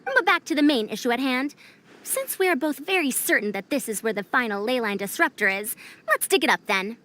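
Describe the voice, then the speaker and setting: Game scholar with elder airs, formal, calls people youngster, bright and animated. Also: female voice, sits high, fairly quick, a little hiss or hum. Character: youthful female scholar with old-fashioned elder airs. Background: soft game music.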